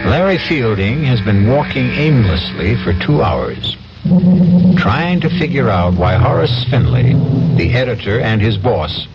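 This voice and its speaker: Man, radio narrator, dramatic, very expressive pitch, 1970s broadcast, music behind, muffled sound. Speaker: narrator and host.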